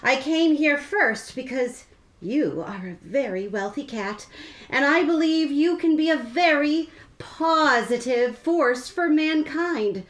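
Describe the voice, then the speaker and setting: A woman playing an earnest young charity volunteer in a comic radio play, polite, measured and firm. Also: high-pitched, lively intonation.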